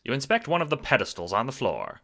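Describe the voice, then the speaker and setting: A man giving dramatic, wry scripted narration for an audio drama, theatrical and expressive. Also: medium pitch, quick pace, creaky.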